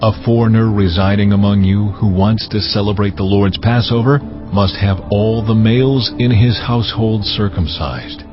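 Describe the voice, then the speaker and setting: A male actor as a solemn male deity in an audio scripture drama. The voice is low, deliberate and commanding, issuing laws with gravity. The sound is slightly dull, with music clearly playing underneath. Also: steady tone, close to an everyday voice.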